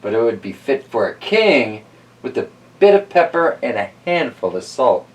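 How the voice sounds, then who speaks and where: A sly, hungry young man from a storybook , read by a man. He talks smoothly in a mid-pitched voice with plenty of movement, recorded from a little distance. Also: sing-song, normal speed, lightly acted. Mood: coaxing. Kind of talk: storybook character reading.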